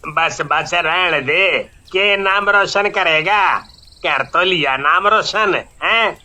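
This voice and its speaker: A man's voice: high voice, slow pace, bright, forceful tone, full character voice. An actor playing a stern, practical aging village father, scolding and plain-spoken in a story. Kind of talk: dramatized Hindi moral story acting.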